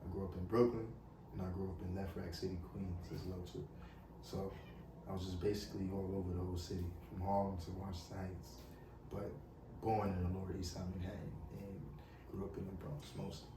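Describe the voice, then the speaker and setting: A man's voice: deep voice, little pitch movement, a little fast, noisy background. A man with a New York accent, talking casually as a music artist guest in an interview, rambling with lots of "you know what I'm saying". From New York City. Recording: roomy, distant mic with faint ambience.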